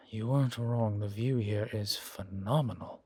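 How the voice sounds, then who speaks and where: A man acts out a sardonic, weary male vampire in an audio roleplay drama. His voice sits on the low side, with measured, formal phrasing and a wry edge. The sound is close and dry, with very little room on it. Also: a little mellow, breathy edge, no echo. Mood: musing, calm.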